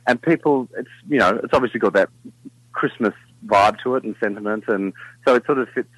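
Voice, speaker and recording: A middle-aged man with a deep baritone talks in an easygoing, meandering way, often restarting his sentences. His voice is fairly low and comes across close and dry, with no room sound. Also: a little fast, slightly rough, no echo, poor audio. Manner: relaxed, rambling with many fillers and restarts. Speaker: singer in a vocal group, guest. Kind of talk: casual interview chat.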